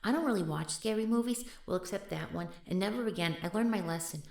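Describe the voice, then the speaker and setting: A woman's voice: mid-range voice, fast, creaky, no room sound, natural voice. A woman voicing a nervous, easily spooked young woman who rambles in a scripted audio roleplay. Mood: rambling.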